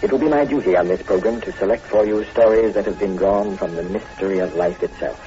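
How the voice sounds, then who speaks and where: A man's voice: deep voice, fast, warm tone, lightly acted. Man playing ominous old-time radio horror host. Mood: ominous, formal. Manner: solemn, ominous, theatrical, formal. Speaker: host and narrator. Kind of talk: 1940s radio horror narration, scripted. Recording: muffled old radio recording, faint music.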